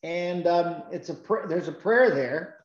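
Man, pastor, sermon, halting and earnest, echoey sanctuary, dull sound. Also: fairly high pitch. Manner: slow, halting, earnest with many restarts. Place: church sanctuary. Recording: roomy, dull church recording.

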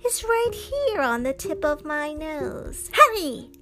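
A woman voicing a sly male fox, a smooth-talking storybook trickster who coaxes without hurry. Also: high voice, big pitch swings, pushed and bright, soft background music, pitched above the performer's own voice, heavily acted. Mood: sly coaxing. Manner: coaxing, unhurried.

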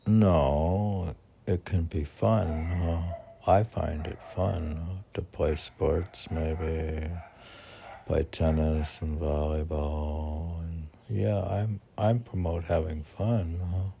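This is a man with a very deep, dark, creaky voice. He talks slowly and roughly, in an informal poetry-reading style. He rambles conversationally, putting questions to himself and then answering them. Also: steady tone, a little hiss or hum. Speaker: poet reading and commenting on own poems.

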